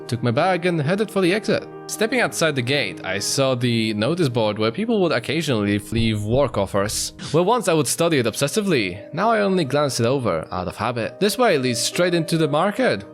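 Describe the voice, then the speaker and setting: A man voicing a confident adult cougar narrating his life in a dramatic visual novel read-aloud, with measured storytelling. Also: mid-range voice, natural voice. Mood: matter-of-fact. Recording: faint guitar music behind.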